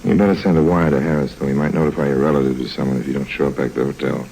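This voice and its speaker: An actor playing a roguish, smooth-talking con man on the run in 1950s radio drama, with a rich voice and a wry, urbane manner. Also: level tone, racing, dark tone, a little hiss or hum. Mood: suave, wry. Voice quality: deep, rich voice. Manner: wry, urbane, dryly ironic. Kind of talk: 1950s radio drama acting with first-person narration. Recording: old 1950s radio recording.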